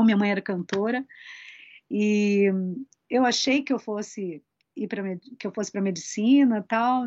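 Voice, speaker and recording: A woman's voice: mid-range voice, a little mellow, no echo. A Brazilian woman from São Paulo state with a Brazilian Portuguese accent, chatting casually as a guest singer in an interview. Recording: dry, dull lo-fi sound.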